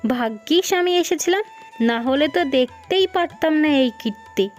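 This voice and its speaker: A woman's voice: sits high, animated, normal speed, soft background music. A woman playing a proud, protective middle-aged mother in a melodramatic cartoon, with an emphatic way of talking that repeats words. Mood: indignant, scolding.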